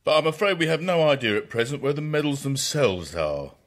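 A man's voice: a little high, sing-song, a little fast, a bit bright. A man playing a puzzled, suspicious police officer with an official, questioning manner.

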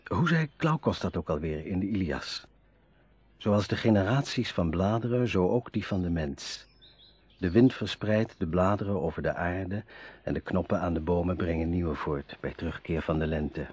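A man's voice: sits low, animated, moderate pace, darkish tone, slightly rough, no room sound. An actor playing an eloquent, sentimental dreamer in a radio drama, savouring his phrases theatrically. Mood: wistful.

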